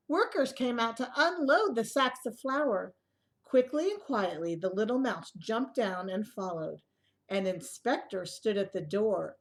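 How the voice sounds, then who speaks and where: Higher-voiced woman reading to young children. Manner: expressive, child-directed, pausing to ask listeners questions. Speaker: early childhood storyteller reading a picture book aloud. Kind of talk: storybook read-aloud for young children with asides.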